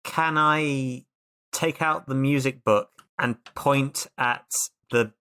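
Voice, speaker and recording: A player talks through a tabletop role-playing game in casual chat. The voice sits at a middle pitch, and the delivery is emphatic, with words often repeated. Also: takes it slowly, bright, forceful tone, clean tone.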